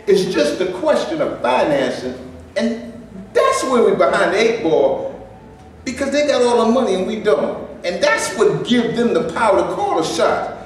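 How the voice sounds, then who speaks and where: A roomy, distant recording of a staged reading with faint noise. An actor plays an ambitious, resentful man who dreams of making movies. His voice is high for a man and moves up and down in an animated way. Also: moderate pace. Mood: proud, frustrated. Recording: echoey room, distant mic, faint noise.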